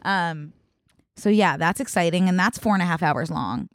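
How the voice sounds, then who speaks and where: A young woman in her twenties with a fairly low voice chats on a podcast in an easygoing, rambling way. She fills her sentences with likes and ums and laughs easily. The sound is dry and close. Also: animated, moderate pace, dry recording. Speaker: podcast co-host. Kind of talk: casual chat.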